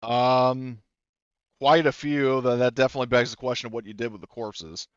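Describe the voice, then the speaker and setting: A man acting as game master, narrating and explaining a tabletop game in a measured, conversational way. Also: medium pitch, steady tone, clean tone, no room sound, highs cut off.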